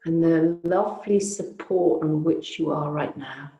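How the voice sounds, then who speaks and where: A woman teaching yoga nidra, guiding a relaxation in a soothing, unhurried, gently inviting way with long pauses. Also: sits low, vocal fry, reverberant, dull audio. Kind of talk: guided relaxation meditation.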